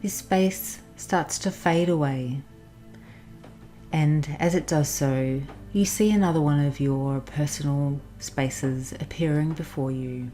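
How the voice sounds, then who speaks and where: A woman narrating a guided meditation in a soothing, instructional manner with pauses. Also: sits low. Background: soft background music.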